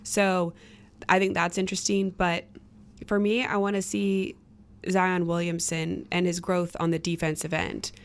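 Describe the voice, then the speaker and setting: Low-pitched woman discussing sports. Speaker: sports reporter and panelist. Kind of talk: casual sports analysis. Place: studio roundtable.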